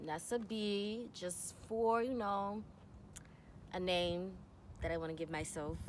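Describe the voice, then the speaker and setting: A woman's voice: mid-range voice, a little hiss or hum. A woman in her twenties from New York, chatting casually in a Q&A vlog and often filling with "you know". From New York, USA. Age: 20s. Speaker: vlogger.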